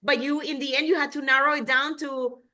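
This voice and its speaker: A woman's voice: high-pitched, steady tone, brisk, bright, forceful tone. A woman interviewer who asks long, winding questions with restarts.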